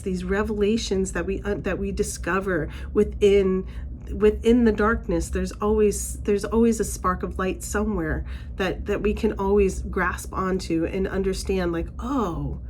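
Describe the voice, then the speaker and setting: Chatty woman reading oracle cards, slightly raspy. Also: mid-range voice, fairly even intonation, a little slow, a little mellow, a little hiss or hum. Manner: chatty, rambling, with many fillers and restarts. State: slightly raspy, recovering from laryngitis. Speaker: oracle card reader. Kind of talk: casual spiritual card reading.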